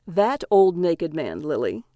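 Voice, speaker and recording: Woman playing a childish, whiny, excitable husband. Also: lowish voice, slow pace, dry recording, highs cut off. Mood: baffled. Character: excitable, childish, dim-witted young husband, male.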